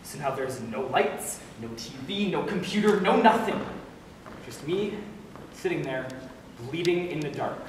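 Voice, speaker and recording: A man playing a gloomy, sleepless high school student in a stage monologue, halting, dry and bitterly self-mocking. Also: lively intonation. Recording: echoey hall from far off, noisy.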